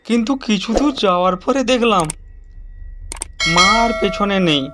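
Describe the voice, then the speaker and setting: A man narrating an animated horror story in the first person. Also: high-pitched, a little slow, very noisy. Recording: music behind the voice. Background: music.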